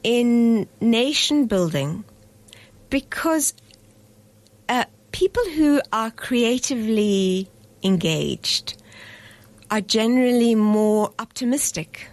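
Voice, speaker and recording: A middle-aged woman from South Africa, a festival director interviewed on radio, speaking in a measured, articulate way. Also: fairly high pitch, lively intonation, a little mellow. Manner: measured, articulate, spells words out carefully.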